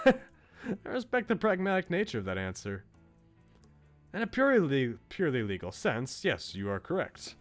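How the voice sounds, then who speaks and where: A man's voice: mid-range voice, wildly swooping pitch, normal speed, pauses often. A man reading aloud a visual novel's bookish male student, articulate, philosophical and politely opinionated. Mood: musing, conceding. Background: music.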